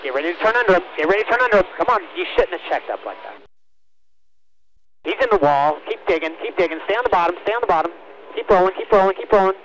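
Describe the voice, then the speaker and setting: A man's voice: high voice, animated, fast talker, fairly bright tone, low-quality recording. A man working as a race spotter, speaking in short, repeated commands over a team radio. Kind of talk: urgent radio spotting calls to a driver.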